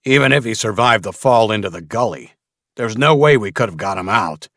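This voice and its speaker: A man's voice: on the low side, expressive, brisk, a bit bright, no echo, clean studio sound, pitched above the performer's own voice. A man voicing a curt, callous leader of a slaver crew in an audiobook, blunt and dismissive. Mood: snappish contempt.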